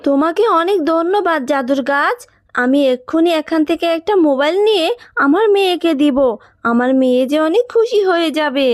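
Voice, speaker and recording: A devoted mother jackal from a fairy tale cartoon, played by a woman. Her voice is high, with an earnest, fretful and caring motherly delivery. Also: lively intonation, fairly quick, no echo, studio quality. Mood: earnest, hopeful.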